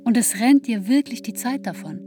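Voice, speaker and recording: An actress playing an introspective woman in her thirties, narrating a literary radio play in a measured, reflective way. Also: on the high side, mellow, close to an everyday voice. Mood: reflective, tense. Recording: music under the voice. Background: musical instruments.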